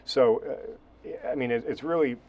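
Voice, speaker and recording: A man's voice: medium pitch, normal speed, dull audio. A man with an American accent hosting a conversational interview. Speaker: host, interviewer.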